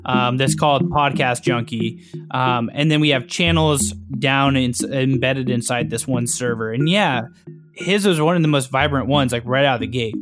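A man's voice: mid-range voice, moderate pace, music in the background. A man being interviewed in a casual chat, explaining things in an informal, eager way. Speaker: podcaster and online community founder being interviewed.

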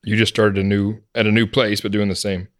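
A man's voice: fairly low pitch, flat delivery, a little fast, dry recording, studio quality. A man hosting a podcast interview, friendly and prompting, often repeating the guest's words back. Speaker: podcast host. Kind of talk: casual interview chat.

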